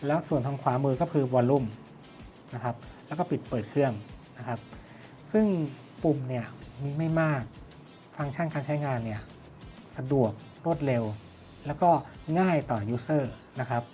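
A man's voice: medium pitch, normal speed, dark tone. A man reviews and demonstrates a product in a mid-range voice. He explains step by step, often asking a question and then answering it. Music plays behind him throughout. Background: background music.

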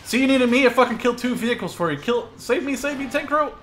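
A man bantering excitedly and urgently while playing a video game, swearing casually. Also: extremely high pitch, quick pace. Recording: clear background noise of game ambience.

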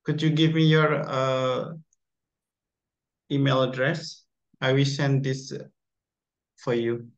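A man tutoring English on a video call, speaking slowly in simple phrases with small slips. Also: mid-range voice, flat delivery, dull audio. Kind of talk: casual online English class. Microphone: laptop mic on video call.

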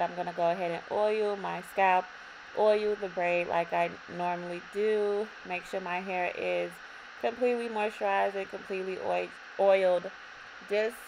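A young woman with a slightly raspy voice, calmly talking viewers through a hair braiding tutorial in her bedroom. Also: on the low side. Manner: relaxed, repetitive instructions addressing viewers. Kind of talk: step-by-step tutorial narration. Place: bedroom, indoors. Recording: audible background noise.

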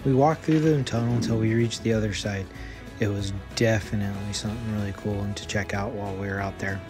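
A man's voice: fairly low pitch, steady tone, a little fast, music in the background. An American man in his thirties talking casually as he narrates a travel vlog. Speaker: vlogger.

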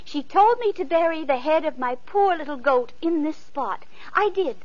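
An actress playing a gentle, kind-hearted young girl who is treated badly by her family, sweet and polite in a 1940s radio play. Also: sits high, animated, normal speed. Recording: muffled old radio broadcast.

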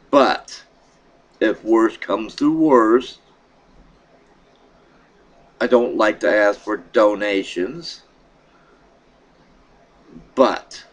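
A middle-aged man with a froggy throat, casually talking to his webcam at home in a rambling, halting way. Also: sits low. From Pennsylvania. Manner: rambling, halting, with many fillers and restarts. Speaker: vlogger. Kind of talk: casual vlog update. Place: small room in a home, indoors. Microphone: webcam at desk.

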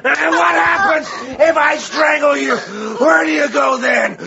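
An actor playing an anguished priest raging at a demon in a radio drama, strained, explosive and challenging, in a muffled, noisy recording. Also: male voice, extremely high pitch, steady tone, a little slow, vocal fry, raised voice, a crowd in the background, full character voice. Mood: raging, desperate.

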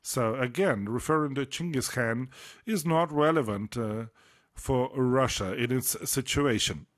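A man interpreting a formal speech live, delivering it in halting phrases with frequent hesitations. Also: medium pitch. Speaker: simultaneous interpreter.

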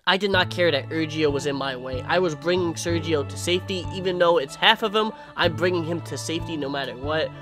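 A man's voice: on the high side, brisk, hardly any pauses. Jokey young man over game music. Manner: jokey, excitable, swears and shouts. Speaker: gameplay commentator. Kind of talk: gameplay commentary.

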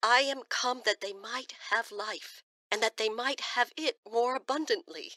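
An actress playing a devout, concerned mother in a radio drama, solemn and gently urgent. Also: on the high side, unhurried, no room sound, clean studio sound. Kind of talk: radio drama acting, reciting scripture.

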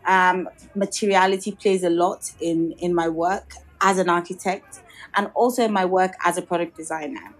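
A woman's voice: fairly low pitch, fairly even intonation, moderate pace, low-quality recording. Thoughtful woman with a Yoruba background. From Nigeria. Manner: thoughtful, many restarts and "you know", occasional light laughs. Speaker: guest architect and designer. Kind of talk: reflective interview answers.

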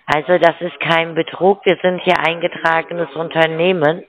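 A man's voice: fairly high pitch, level tone, moderate pace, crowd noise. A man in working a scam call center, pushy and stumbling over his words. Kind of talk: phone sales call.

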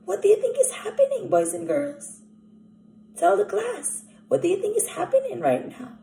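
A young woman teacher leading an interactive picture-book read-aloud, animated and asking children questions directly. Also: very high-pitched, normal speed, creaky, a little hiss or hum. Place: small indoor room at home. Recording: echoey room recording.

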